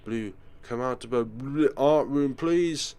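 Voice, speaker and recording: A man's voice: mid-range voice, slow pace, a little mellow, clean tone, pitched above the performer's own voice, close to an everyday voice. A man voicing a frightened, indignant teenage schoolgirl in a visual novel, exclaiming and pleading.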